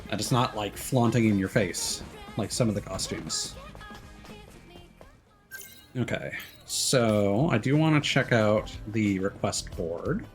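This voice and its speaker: A man's voice: on the low side, takes it slowly. A man giving casual, laid-back let's play commentary, musing aloud as he streams. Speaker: game streamer. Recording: game music behind the voice. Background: faint game music.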